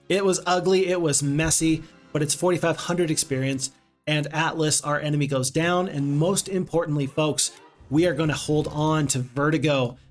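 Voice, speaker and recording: A man casually commenting on his strategy game stream, rambling and chatting to viewers. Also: on the high side. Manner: chatty, rambling, reads viewer chat aloud.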